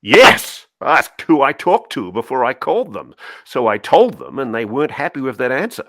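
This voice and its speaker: A man's voice: medium pitch, sing-song, moderate pace, a bit bright, no room sound. Guarded admiral, read by a man, telegraphic and dramatic, varied intonation, close dry recording. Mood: recounting. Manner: clipped, telegraphic phrasing. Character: guarded senior admiral recounting secrets in clipped phrases. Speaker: co-host reading a part. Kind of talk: dramatic cold reading of a memo transcript.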